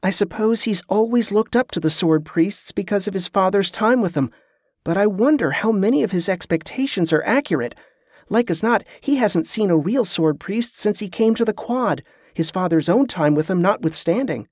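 A man's voice: sits high, expressive, moderate pace, no room sound, clean studio sound, pitched above the performer's own voice. A man voicing a composed, diplomatic woman who leads a band of travellers in a fantasy audiobook, polite and controlled. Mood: reflective.

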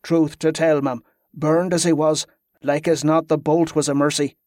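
A man's voice: fairly high pitch, little pitch movement, moderate pace, darkish tone, no echo, close to an everyday voice. Grave, deferential soldier acted by a man, dry sound. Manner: terse reports in inverted dialect phrasing. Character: grave, deferential male soldier reporting to his commander. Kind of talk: fantasy audiobook character voice.

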